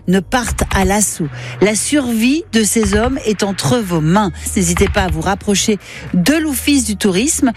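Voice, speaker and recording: A woman's voice: mid-range voice, lively intonation, a little slow. Upbeat woman reading event listings. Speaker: radio columnist presenting an events agenda. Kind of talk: read radio segment.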